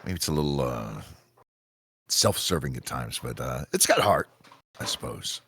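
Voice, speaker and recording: An actor playing a self-important male executive agent with hesitant, pompous pauses in a comedy radio play read. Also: extremely low pitch, very animated, fairly bright tone, husky, heavily acted. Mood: measured, self-satisfied.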